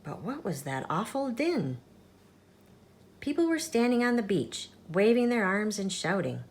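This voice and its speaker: A woman reading a children's picture book aloud as a storytime host, in an expressive, measured storytelling manner. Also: medium pitch. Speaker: storytime channel reader.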